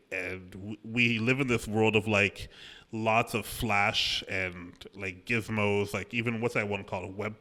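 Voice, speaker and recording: A man in his thirties hosting a podcast interview, talking in a relaxed, storytelling way into a close studio microphone in a small office. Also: lowish voice. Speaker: podcast host. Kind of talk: casual conversational interview. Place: small office room indoors.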